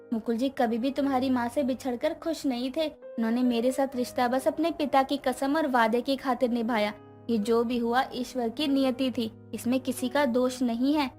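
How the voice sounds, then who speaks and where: Gentle, forgiving stepmother for a family tale, high-pitched and emotive , voiced by a woman. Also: close to an everyday voice. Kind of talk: dramatized bedtime moral story.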